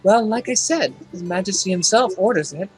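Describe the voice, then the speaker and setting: A woman's voice: medium pitch, animated, moderate pace, over music, close to an everyday voice, low-quality recording. Woman voicing a friendly demon castle maid. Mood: earnest, calm. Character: friendly, overfamiliar female demon castle maid, loyal and chirpy. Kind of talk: amateur visual novel fandub acting.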